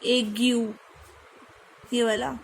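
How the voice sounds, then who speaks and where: Slow, high-voiced Indian woman teaching. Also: level tone, creaky, slight background noise. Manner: spells letters slowly and drills pronunciation. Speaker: French language teacher. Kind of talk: online lesson mixing Hindi and English.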